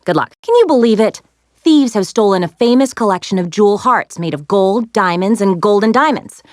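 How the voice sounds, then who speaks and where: An actress voicing a plucky, sassy young woman adventurer in a video game, expressive and wry as she briefs heroes. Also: mid-range voice, moderate pace, no echo, clean studio sound, natural voice. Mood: wry, urgent briefing. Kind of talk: animated video game character lines, giving mission briefings.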